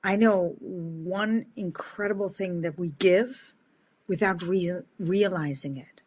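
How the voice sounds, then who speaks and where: Booming middle-aged woman speaker. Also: slow pace, darkish tone, breathy edge. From Netherlands. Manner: earnest, emphatic, repeats words for stress. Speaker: motivational speaker. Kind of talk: motivational address to camera. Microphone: facing several cameras.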